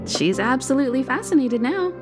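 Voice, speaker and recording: A composed, mature female navigator from a sci-fi game. Her voice is fairly high and lively in melody, poised and reassuring, with music clearly underneath. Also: a little fast. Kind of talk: video game cutscene acting.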